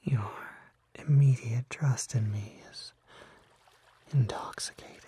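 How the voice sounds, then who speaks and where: A man voicing a charming, unsettling kelpie in an ASMR roleplay, soft and often whispering, coaxing and flattering with teasing false modesty, close to the microphone. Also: medium pitch, slow pace, husky. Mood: seductive, intimate. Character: charming, unsettling male kelpie, smooth-talking seductive lurer. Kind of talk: ASMR roleplay, intimate one-sided acted dialogue.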